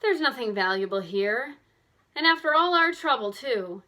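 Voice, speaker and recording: A dramatic, impatient teenage girl who is easily let down, performed by a woman reading a storybook. The voice is high and bright, with a plaintive, exclaiming delivery. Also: lively intonation, moderate pace. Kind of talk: animated children's mystery storybook reading.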